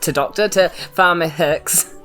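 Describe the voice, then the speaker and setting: A woman's voice: lowish voice, fairly even intonation, unhurried, a bit bright. A woman with a New Zealand accent, chatting and improvising playfully as a player in a tabletop game. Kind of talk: playful improvised role-play chat. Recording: music behind the voice. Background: faint music.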